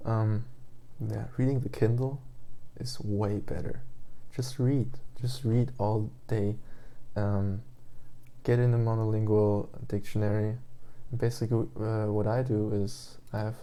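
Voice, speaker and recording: A young German-accented man, just awake, rambles through a casual rant full of ums and restarts. His voice sits a little low, with a heavy gravelly fry and a very dark, mellow tone. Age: 20s. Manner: meandering, hesitant, many fillers and restarts. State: just woke up. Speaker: language learner vlogger.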